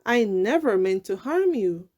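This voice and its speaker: A woman voicing a giant, proud but good-hearted male blue whale in a children's storybook, emphatic and deliberate. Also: mid-range voice, lively intonation, mellow. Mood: conciliatory.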